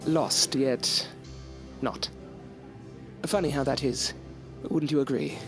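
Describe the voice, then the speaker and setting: A man's voice: mid-range voice, slow pace. Earnest, courteous young student, played by a man. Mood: bemused, courteous. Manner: formal, old-fashioned, courteous wording. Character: earnest, polite young male student, gothic tale narrator. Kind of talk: gothic audio drama acting. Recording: music under the voice.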